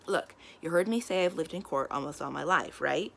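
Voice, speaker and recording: A chatty young nobleman in his teens, as a woman reader plays him, friendly and dryly wry. He tends to make little speeches, in a storybook style with a slightly creaky edge. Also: low voice, lively intonation, brisk, a bit bright, exaggerated voice. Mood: earnest. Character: talkative, wry, friendly teenage noble boy.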